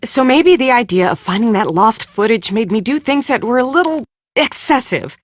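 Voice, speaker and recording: An actress playing a brisk, sharp-tongued, exasperated woman on a film crew in an adventure game, speaking with sarcastic emphasis. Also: on the high side, animated, no room sound, clean studio sound. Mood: defensive. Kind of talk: scripted game character dialogue.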